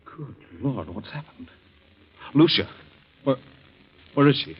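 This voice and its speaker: An actor playing an indignant, incredulous husband suspected of murder in a 1940s radio melodrama, protesting haltingly. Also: male voice, mid-range voice, animated, breathy edge. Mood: shocked, bewildered. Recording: dull vintage 1940s radio recording.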